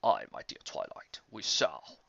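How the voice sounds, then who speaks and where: A woman voicing a courtly older male unicorn mentor who speaks in a formal, measured way. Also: a bit bright, dry recording, dull audio, lightly acted.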